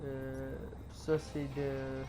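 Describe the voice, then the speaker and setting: Stuffy-voiced Québécois gamer with a cold. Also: male voice, medium pitch, monotone, very slow. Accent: Québécois French. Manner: hesitant, many fillers and restarts. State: congested with a cold, coughing. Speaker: gaming YouTuber. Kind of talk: casual gameplay commentary.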